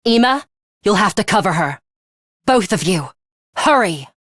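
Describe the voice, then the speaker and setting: A young female fighter from a video game, stern and composed. Her delivery is measured and matter-of-fact, with blunt, pragmatic phrasing. The voice sits at a medium pitch and is recorded very dry and close. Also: sing-song, fairly bright tone, no echo. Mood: commanding. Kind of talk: English video game voice acting.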